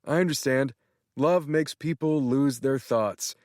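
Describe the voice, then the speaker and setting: A man voicing an excitable, flamboyant male friend who talks in theatrical gushes. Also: medium pitch, unhurried, no echo, studio quality, voice pushed up, lightly acted. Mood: gushing. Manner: theatrical, gushing.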